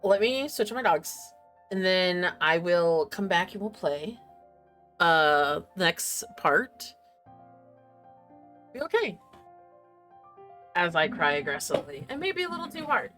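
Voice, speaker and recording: A woman streams games and chats casually with her viewers over background music. Her pitch moves around a lot, and her manner is playful and giggly, as she half talks to herself. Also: sing-song, slow, pauses often, a bit bright. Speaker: game streamer, host. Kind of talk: casual livestream chat with viewers.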